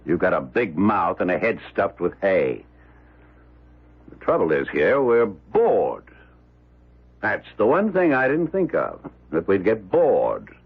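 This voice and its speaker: An actor playing a wealthy, short-tempered old miser who barks orders in a gravelly voice, in a radio drama. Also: male voice, low-pitched, wildly swooping pitch, slow, full character voice. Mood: bored, irritable. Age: older. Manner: blustering, barking orders. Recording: dull vintage radio recording.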